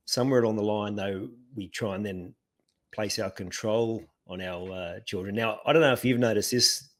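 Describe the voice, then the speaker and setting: A man hosts a podcast interview with a fairly low voice. He asks reflective questions and keeps the talk going with short, affirming replies. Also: moderate pace, fairly bright tone. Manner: affirming, frequent agreement. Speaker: podcast host. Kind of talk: casual interview.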